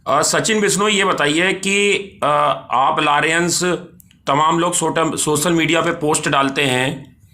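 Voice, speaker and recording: A man working as a news interviewer, putting halting, insistent questions over a phone call. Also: fairly high pitch, steady tone, bright, forceful tone.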